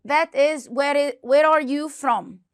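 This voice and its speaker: High-voiced woman teaching English. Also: takes it slowly, no echo, clean studio sound. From Egypt. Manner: lively, repeats questions to students. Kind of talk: online grammar lesson.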